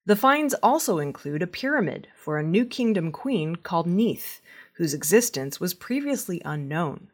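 A woman reads scripted news in a fairly low voice. Her pitch moves up and down a lot, giving the narration an animated shape. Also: normal speed. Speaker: news reader.

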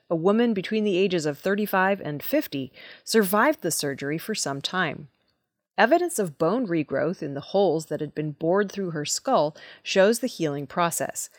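Lively-toned woman reading the news, dry studio sound. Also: fairly low pitch, no echo. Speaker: news presenter. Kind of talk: scripted news narration.

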